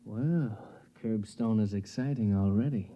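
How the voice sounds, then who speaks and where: A man's voice: fairly low pitch, unhurried, dark tone. A man acting as a jaded, suave, flippant playboy in a 1950s radio drama. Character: jaded, smooth male playboy, flippant and decadent. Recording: muffled vintage radio recording.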